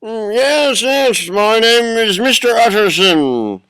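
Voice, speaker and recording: A man voicing a timid caller with a drawn-out, wavering delivery in a radio play. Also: high-pitched, animated, a bit bright, smooth, dry recording, exaggerated voice. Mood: quavering.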